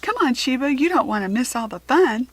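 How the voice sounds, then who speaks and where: A woman's voice: high-pitched, expressive, fairly quick, voice pushed up. A woman voicing an eager, caring young girl in a children's storybook, with earnest expression.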